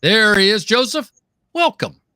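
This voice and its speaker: A man hosts a live-streamed business interview. He sounds effusive and upbeat, and his bright, slightly raised voice comes through dry and close to the mic. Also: sits high, big pitch swings, unhurried, clear, resonant tone, dry recording. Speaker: podcast host.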